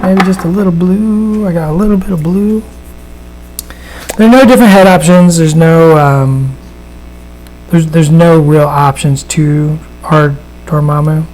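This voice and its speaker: A man with a somewhat higher voice and a gravelly rasp talks off the cuff while painting on a live stream. He rambles in a relaxed way, full of fillers and restarts. A faint electrical hum sits under the recording. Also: a little slow, poor audio. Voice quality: raspy. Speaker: miniature painter and host. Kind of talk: casual live painting demonstration. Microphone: studio microphone at a desk.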